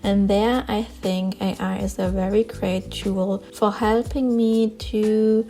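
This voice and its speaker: German-accented woman, soft mellow voice. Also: mid-range voice, little pitch movement, slow pace. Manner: earnest, plain-spoken, with small word searches and repeats. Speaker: artist vlogger. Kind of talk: casual talk over a drawing session.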